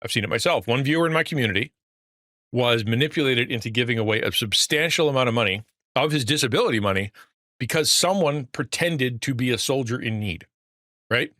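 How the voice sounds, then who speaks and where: A man's voice: medium pitch, animated, brisk, bright, forceful tone, dry recording, clean studio sound. A middle-aged man, a pastor, talking earnestly and rambling on a livestream with a fatherly voice. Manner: earnest, rambling with restarts, reads chat aloud. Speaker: pastor and streamer. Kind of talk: casual livestream talk.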